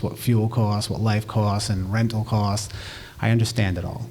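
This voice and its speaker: A man's voice: on the low side, a little mellow, slight background noise. A man, a single father and mayoral candidate, making an earnest forum speech with repetitive appeals. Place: community forum hall.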